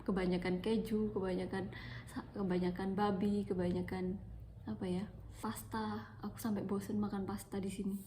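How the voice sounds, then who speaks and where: A woman's voice: mid-range voice, flat delivery, moderate pace, warm tone, slight background noise. A young woman from Malang with an East Javanese accent, chatting casually into her phone for a personal vlog and repeating words for emphasis. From Malang, East Java, Indonesia. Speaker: vlogger.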